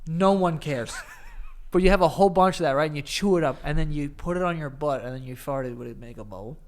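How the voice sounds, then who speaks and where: A man's voice: a little high, fast talker. A man in his thirties co-hosting a podcast, bantering crudely and laughing between jokes. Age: 30s. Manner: animated, laughing, jokey. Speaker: podcast co-host.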